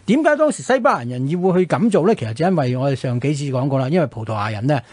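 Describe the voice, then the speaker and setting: A man's voice: on the high side, animated, very fast, a little mellow, slightly rough, no room sound. A man with a Hong Kong Cantonese accent hosting radio, telling history in a conversational way full of colloquial sentence-ending particles. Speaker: radio host. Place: radio studio.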